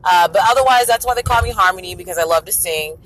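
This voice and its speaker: Raspy woman hosting a chatty radio show. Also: fairly low pitch, quick pace, a bit bright, rough recording. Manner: chatty and opinionated, frank asides. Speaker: internet radio host. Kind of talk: casual talk-radio commentary.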